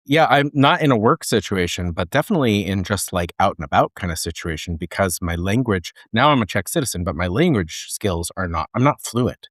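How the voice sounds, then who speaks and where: A man with a very deep voice muses out loud on a podcast, working through his thoughts with loose everyday fillers. The sound is dry and close. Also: expressive, brisk, hardly any pauses, dry recording. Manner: reflective, with fillers like "you know" and "like". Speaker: podcast co-host. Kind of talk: casual discussion.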